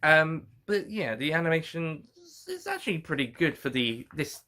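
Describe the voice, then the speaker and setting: A man giving a casual, unscripted review, speaking in a halting, rambling way and often repeating or correcting himself. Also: on the high side, wildly swooping pitch, bright, forceful tone.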